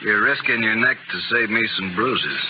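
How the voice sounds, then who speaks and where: A man's voice: on the low side, lively intonation, moderate pace, natural voice. An actor playing a wry, dry-humored gambler gunman in a radio western, sardonic and laconic in manner. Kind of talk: 1950s radio western acting. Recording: very noisy, muffled old radio recording.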